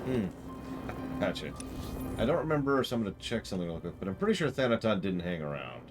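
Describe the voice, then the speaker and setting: A man's voice: on the low side, sing-song, fast talker. A man giving casual live commentary as a game streamer, rambling and thinking aloud. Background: loud game music.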